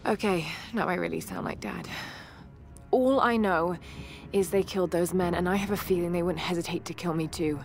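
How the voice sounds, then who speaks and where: An actress playing a shaken but determined young adventurer, narrating her survival journal in a game with a breathy voice that often drops toward a whisper. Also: lowish voice, normal speed. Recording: music behind the voice.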